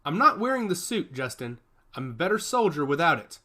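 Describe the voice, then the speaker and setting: A man's voice: a little high, sing-song, a little fast, sharp tone, full character voice. A man voicing a cocky, defiant male soldier in a science fiction story, speaking tersely.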